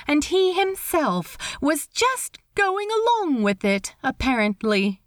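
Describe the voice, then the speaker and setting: Woman, story narrator, highish voice, very animated pitch, slow pace, expressive reading. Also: no room sound, clean studio sound. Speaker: reader of fan fiction.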